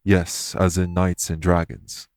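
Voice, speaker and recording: A man playing a solemn, guilt-ridden fae in an audio roleplay, speaking formally and earnestly in measured, deliberate phrases. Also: low-pitched, level tone. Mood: grave, explanatory. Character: solemn, guilt-ridden male fae explaining magic to a patient. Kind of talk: audio roleplay acting, addressed to listener.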